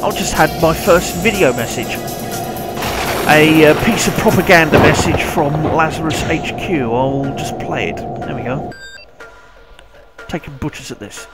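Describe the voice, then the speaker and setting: British male gaming host, slightly high voice, informal and halting commentary, loud music behind. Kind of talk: casual off-the-cuff gameplay commentary. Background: loud game music.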